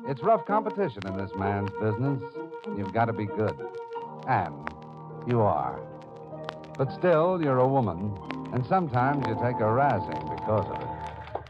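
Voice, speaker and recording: A man's voice: lowish voice, very animated, a little slow. A man narrating an old-time radio drama in a portentous, emphatic scripted style. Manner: portentous, emphatic, second-person storytelling. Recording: dull old radio broadcast. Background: dramatic music.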